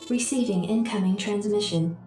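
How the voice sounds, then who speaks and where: A woman's voice: mid-range voice, normal speed, warm tone, lightly acted. A woman plays an impassive female military computer in a game. Her announcements are clipped and formal, drenched in echo and set back from the mic, with music behind. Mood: official, cool. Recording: echoing, distant processed voice over music.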